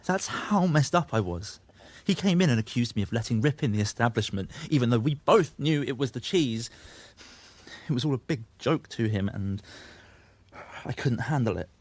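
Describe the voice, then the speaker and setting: Troubled young man, audio drama. Self-justifying, sweary, very lively intonation, dry studio sound. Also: mid-range voice, moderate pace, no echo. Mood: ashamed confessing. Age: young adult. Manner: confessional, defensive, sweary. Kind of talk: naturalistic audio drama dialogue.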